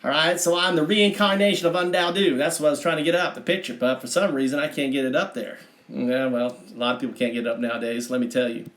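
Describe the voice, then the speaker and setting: A man's voice: medium pitch, steady tone, fast, a bit bright, natural voice. A man putting on an American Southern accent as a brash, folksy redneck livestream host who rambles, boasts and swears casually to his viewers. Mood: folksy, chatty. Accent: put-on American Southern. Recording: roomy, echoing livestream audio.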